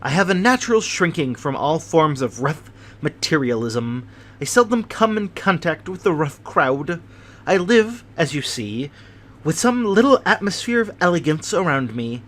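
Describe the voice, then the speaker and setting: A man voicing a fussy, nervous older gentleman with a prim, precious way of talking. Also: fairly high pitch, sing-song, moderate pace. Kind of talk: audiobook character voice.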